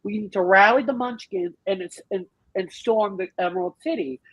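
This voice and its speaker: A woman explaining things emphatically and with salty language in an animated, casual group discussion on a podcast. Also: mid-range voice, moderate pace, slightly rough, no echo, highs cut off. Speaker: podcast panellist.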